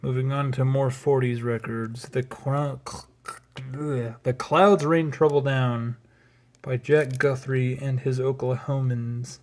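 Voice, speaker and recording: Man with an even, casual, rambling delivery. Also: medium pitch, takes it slowly. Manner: rambling, hesitant asides. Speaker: record collector presenting a collection. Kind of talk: casual unscripted show-and-tell.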